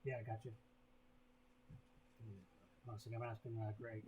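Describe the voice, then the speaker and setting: A man's voice: mid-range voice, level tone, normal speed, mellow. An American man from Indiana talking casually as a business client on a conference call, with informal, clipped answers. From Indiana, United States. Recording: noisy conference call audio.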